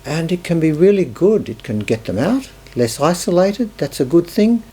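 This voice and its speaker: A man, a health professional, speaking in a measured, thoughtful way. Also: a little high, very animated, dark tone, husky.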